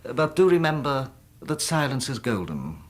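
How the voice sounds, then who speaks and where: An actor playing a falsely courteous villain in a radio drama, husky and oily, with over-polite menace. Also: male voice, medium pitch, big pitch swings, moderate pace. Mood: menacing courtesy.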